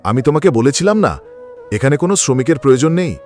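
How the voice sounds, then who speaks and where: Male actor as gruff village landlord, cartoon father, brusque, exclamatory, swooping pitch. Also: expressive, quick pace, a little mellow, faint music behind, natural voice. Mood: irritated. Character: gruff, dismissive landlord father with a grown son. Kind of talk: cartoon dialogue acting.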